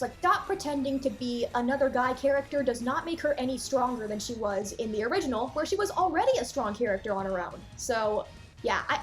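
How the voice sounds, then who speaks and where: A woman reviewer giving casual, opinionated cartoon commentary with animated asides and exclamations. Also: sits high. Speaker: video reviewer.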